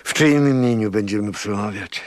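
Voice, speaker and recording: An actor playing a hesitant, questioning priest with a husky voice in a radio drama. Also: male voice, fairly low pitch, normal speed, dry recording, lightly acted. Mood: doubtful. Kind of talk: Polish radio drama acting.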